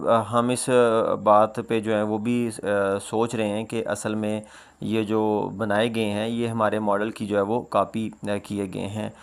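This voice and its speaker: A man presenting informal, explanatory news commentary, often pausing mid-sentence and leaning on repeated filler phrases. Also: fairly low pitch. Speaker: presenter of a world news roundup.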